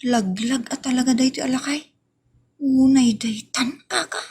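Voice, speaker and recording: Woman acting a high-voiced, brash young waitress. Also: a little slow, darkish tone. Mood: sly. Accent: Ilocano. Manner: brash, mocking, talks back. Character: sassy, scheming, rude young daughter working as eatery waitress. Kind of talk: Ilocano comedy audio drama acting.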